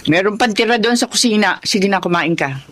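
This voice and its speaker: A woman's voice: mid-range voice, a little fast, fairly bright tone, slight background noise, close to an everyday voice. A woman with a Filipino accent playing a caring, fretful older mother in a radio drama, fussing and soothing. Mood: concerned, gentle.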